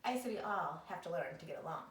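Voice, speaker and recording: A self-pitying, indignant pet dog, played by a woman pleads and grumbles theatrically in an expressive storybook reading. The sound is echoey, picked up at some distance from the microphone. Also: lowish voice, fast talker. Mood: aggrieved complaining. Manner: theatrical, wheedling complaint. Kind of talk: expressive picture-book read-aloud.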